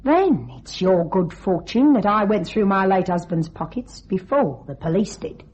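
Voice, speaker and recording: A woman's voice: mid-range voice, expressive, darkish tone, slight background noise, close to an everyday voice. A woman playing a cold, scheming young widow in a radio thriller, mocking and coolly commanding. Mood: accusing. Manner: taunting, coolly controlled, deliberate. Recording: dull, band-limited radio drama recording.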